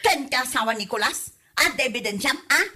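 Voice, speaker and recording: A woman's voice: sits high, animated, a little fast, sharp tone, breathy edge, exaggerated voice. A woman acting a hot-tempered married woman in a comedy drama, scolding and exclamatory. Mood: furious. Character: furious scolding married woman confronting a gossip.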